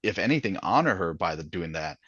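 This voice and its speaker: A man's voice: low voice, very animated, brisk, a bit bright, breathy edge, dry recording, highs cut off. A man from Minnesota with a Minnesotan accent, chatting in an author interview, rambling with lots of fillers and restarts. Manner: rambling, many fillers and restarts, laughs often. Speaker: guest author. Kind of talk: casual interview chat.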